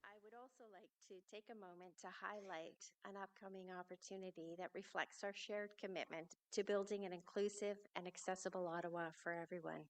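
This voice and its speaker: Woman, city official, podium speech, gravelly tone, earnest, occasional fillers. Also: lowish voice, steady tone, a little fast, few pauses. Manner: earnest, slightly unscripted with fillers. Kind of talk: formal public speech. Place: large city hall event space.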